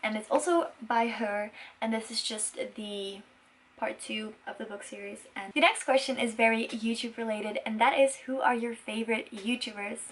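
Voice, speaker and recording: Young woman from belgium, native dutch speaker, high voice, chatty q&a vlog, earnest n enthusiastic. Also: normal speed, a bit bright, slight background noise. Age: 20s. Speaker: vlogger and musician. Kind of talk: casual Q&A chat to viewers.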